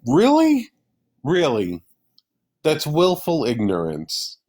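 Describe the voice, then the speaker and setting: A man's voice: on the high side, wildly swooping pitch, slow pace, a little mellow. Combative man hosting a heated livestream. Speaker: livestream host.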